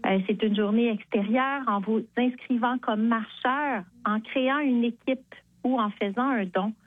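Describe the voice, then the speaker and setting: A woman's voice: fairly high pitch, a little slow. A woman, guest on a radio show, cheerfully promoting a charity walk over the phone. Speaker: guest, charity event co-chair. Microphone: phone line. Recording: tinny phone line.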